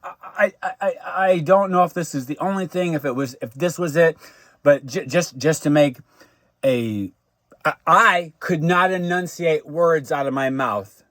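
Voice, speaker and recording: An American man giving an unscripted personal monologue in a halting, repetitive way, with stammered restarts and emphatic drawn-out phrases. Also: on the high side, a bit bright, no room sound. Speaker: vlogger recounting personal grievances.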